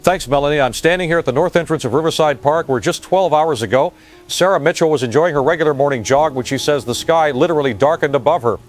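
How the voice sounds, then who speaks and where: Male news reporter, played by an actor, audio drama: slightly high voice, earnest, formal, faint music behind. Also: fast, natural voice. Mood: earnest, serious. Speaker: field reporter. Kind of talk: scripted live news report.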